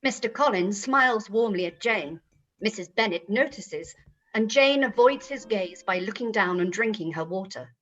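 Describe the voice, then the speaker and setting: A woman narrator with a mid-pitched voice. She reads scripted stage directions that describe a scene. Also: animated, moderate pace, a bit bright, highs cut off.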